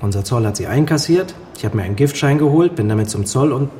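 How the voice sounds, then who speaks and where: Actor as mellow, sardonic East German know-it-all. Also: male voice, mid-range voice, expressive, quick pace, slight background noise, lightly acted. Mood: sardonic, teasing. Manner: sardonic, conspiratorial. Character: cynical, worldly East German male colleague, teasing know-it-all. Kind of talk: radio drama dialogue.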